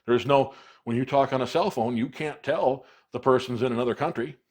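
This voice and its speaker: A man's voice: mid-range voice, brisk. An American man giving a casual product explanation in a folksy, explanatory manner, stressing key words. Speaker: product reviewer.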